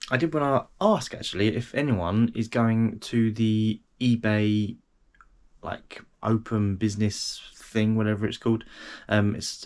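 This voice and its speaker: A middle-aged man chats casually to camera, his voice a bit nasal from a cold. He rambles along with plenty of ums and self-deprecating asides, speaking in a slightly low voice. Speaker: eBay reseller vlogger.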